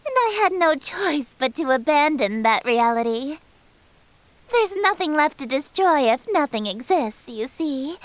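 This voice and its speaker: A woman's voice: high-pitched, no echo, heavily acted. A woman playing a regal eldritch queen of chaos in a game, speaking formally and imperiously with menacing sweetness and theatrical condescension. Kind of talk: visual novel game character acting.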